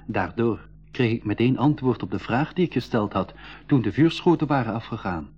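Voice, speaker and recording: Sensible young male adventurer, earnest storyteller, husky, dull vintage radio-drama sound. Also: medium pitch, darkish tone. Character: sensible boy adventurer who narrates his story. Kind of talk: 1980s school radio play with scripted narration. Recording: dull, band-limited old radio recording.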